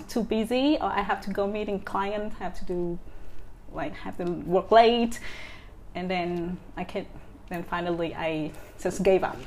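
A woman's voice: medium pitch, a little hiss or hum. A woman in her thirties from Vietnam with a Vietnamese accent, speaking as an interview guest with many fillers and restarts. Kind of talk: casual interview.

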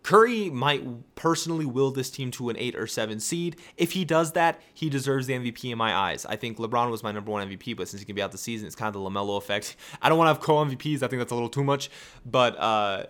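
Raspy, tired young man talking sports. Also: medium pitch, quick pace. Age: young adult. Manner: rambling, with restarts and excited rhetorical questions. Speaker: podcast host. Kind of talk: casual sports podcast chat.

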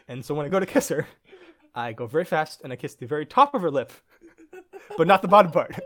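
A young man with an American accent, a podcast guest telling a story in casual chat and laughing often as he goes. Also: medium pitch.

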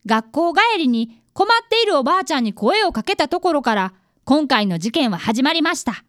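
A woman's voice: high-pitched, big pitch swings, moderate pace, no room sound, studio quality. A woman voicing an earnest fifth-grade boy who is an apprentice detective and narrates a radio drama. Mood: earnest narrating. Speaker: narrator and actor.

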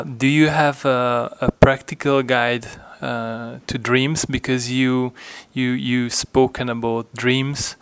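Male interviewer, flat delivery, dry close recording, searching questions with restarts. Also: mid-range voice, vocal fry, smooth, no echo.

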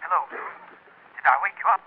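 An actor playing a flustered, pleading man over a telephone filter in a 1940s radio comedy, with a husky voice. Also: fast talker, background noise. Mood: urgent, pleading. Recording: tinny phone line on old radio recording.

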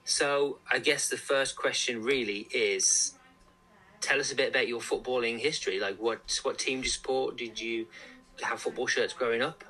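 A man's voice: medium pitch, steady tone, normal speed, pushed and bright, husky, poor audio. A man hosting a podcast, asking questions in long, rambling, enthusiastic runs. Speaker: podcast host. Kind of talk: casual podcast interview.

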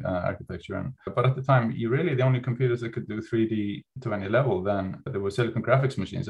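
Man in his 50s, low gravelly voice, loose conversational interview guest. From Iceland. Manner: rambling, informal, hedging delivery. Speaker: podcast guest, company CEO. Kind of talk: casual interview storytelling.

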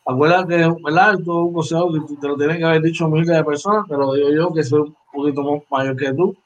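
A man's voice: fairly high pitch, fairly even intonation, quick pace, muffled recording. A man in his forties, a sports podcast co-host, chatting animatedly and repeating phrases. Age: 40s. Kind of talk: casual sports chat.

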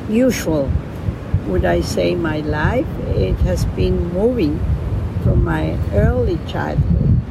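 A woman in her seventies with a Colombian Spanish accent, a yoga teacher telling her life story in a halting, gentle way, searching for words. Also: lowish voice, gravelly, heavy background noise. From Bolivia, raised in Colombia. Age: 70s. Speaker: yoga teacher, interview guest. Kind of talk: storytelling interview.

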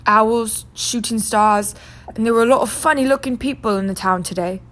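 A woman's voice: on the high side, moderate pace, a bit bright, lightly acted. A woman voicing a nervous, stuffy middle-aged husband who hesitates and mumbles, in a storybook reading.

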